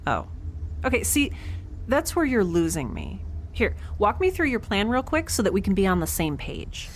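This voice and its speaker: A woman's voice: medium pitch, huge pitch swings, normal speed. A woman playing a quippy, awkward young peacemaker on a space station in an audio drama, hesitant and wry. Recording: faint background noise.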